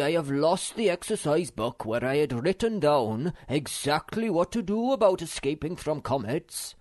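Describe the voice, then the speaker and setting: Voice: medium pitch, a little mellow, dry recording, pitched above the performer's own voice, natural voice. A reader voicing the Snork, a fussy creature who speaks formally and hesitantly.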